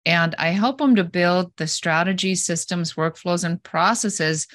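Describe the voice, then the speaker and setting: A woman with a German accent speaks in a fairly low register, direct and straightforward as she explains her work with everyday examples. Her voice is close and dry, with no room sound around it. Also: a little slow, a bit bright, no room sound. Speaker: guest, business coach. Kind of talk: conversational interview answers.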